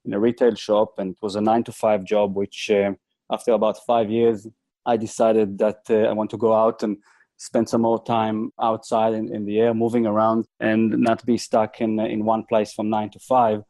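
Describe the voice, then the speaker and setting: A middle-aged man from Israel, telling his story as a podcast guest, often pausing and restarting. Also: on the low side, level tone, warm tone, dry recording. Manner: hesitant, frequent restarts and fillers. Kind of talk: casual interview storytelling.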